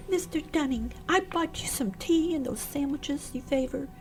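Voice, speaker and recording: An actress playing a solicitous, insistent secretary in a radio play, pleading with concern. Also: female voice, high voice, normal speed. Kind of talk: radio drama acting. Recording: prominent music under the voice.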